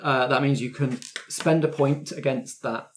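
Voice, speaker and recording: A man's voice: medium pitch, fairly even intonation, a little slow, a little hiss or hum. A man with a British accent, casually narrating and chatting as game master and host of a role-playing podcast.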